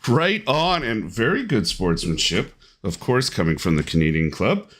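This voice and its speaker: A man's voice: fairly low pitch, big pitch swings, normal speed, a bit bright, slightly rough. A man hosting and commentating a live online golf game tournament in upbeat play-by-play.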